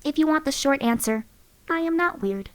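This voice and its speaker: A woman's voice: high voice, animated, normal speed, a bit bright, no room sound. A woman voicing a cool AI chip companion. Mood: calm, aloof. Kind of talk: game companion voice lines.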